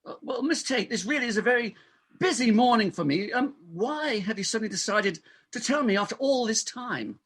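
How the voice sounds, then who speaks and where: A man's voice: high-pitched, lively intonation, brisk, fairly bright tone, breathy edge, heavily acted. A man playing a pompous, evasive middle-aged London doctor, blustering and stammering through a comic stage play reading. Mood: panicked, blustering.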